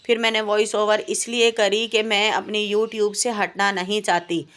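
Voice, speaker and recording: A woman's voice: mid-range voice, flat delivery, a little slow, a bit bright. A woman with a sore, coughing throat, chatting in a rambling, plaintive voice-over for her cooking channel. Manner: plaintive, complaining, rambling with hesitations. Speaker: home baker and cooking channel host. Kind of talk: voice-over vlog chat. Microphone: home voice-over mic.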